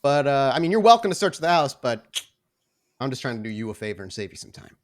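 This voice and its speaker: A man's voice: medium pitch, very animated, fast, natural voice. A man voicing a nervous, wisecracking small-time vampire thief in a tabletop game, rambling and pleading in slang. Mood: bargaining.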